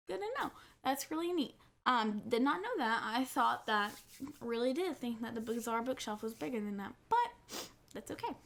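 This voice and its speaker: A woman's voice: a little high, a bit bright. A woman with a stuffy nose from a cold, chatting casually to camera from her couch at home and gushing, repeating herself. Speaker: cross-stitch vlogger.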